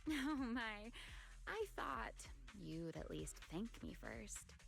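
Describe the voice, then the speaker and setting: A woman playing a composed, enigmatic fighter and mentor in an anime-style game, unhurried, playful and slightly formal. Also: on the high side, big pitch swings, gravelly, faint music behind, exaggerated voice. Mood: teasing.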